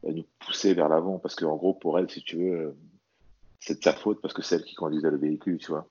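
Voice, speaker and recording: A man's voice: rumbling low, steady tone, fast talker, a little mellow, husky, highs cut off. A man from French Guiana speaking casually and earnestly about himself as an interview guest, often repeating his words. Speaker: interview guest, athlete and bodybuilder. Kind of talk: casual personal testimony in conversation.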